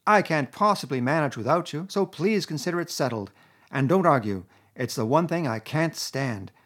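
A man voicing a boastful, excitable male toad in a storybook reading, loud and gushing. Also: a little high, moderate pace, voice pushed up.

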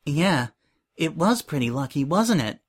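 A man's voice: fairly high pitch, big pitch swings, a little slow, husky, full character voice. A man voicing a meek, anxious young female pony assistant who stammers and pleads shakily in a story reading. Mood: timid. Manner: stammering, shaky, breathless pleading.

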